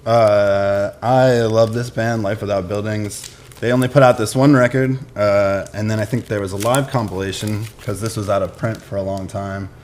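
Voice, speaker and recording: A man's voice: on the low side, fairly even intonation, normal speed, darkish tone, slight background noise. A man presenting his picks in casual, unscripted talk, using short informal phrases. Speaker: musician presenting record picks. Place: indoors in a record shop.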